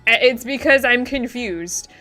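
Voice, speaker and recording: A woman's voice: a little high, measured pace, pushed and bright. A woman hosting a let's play, giving casual game commentary. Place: voice-over on game capture. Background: game music.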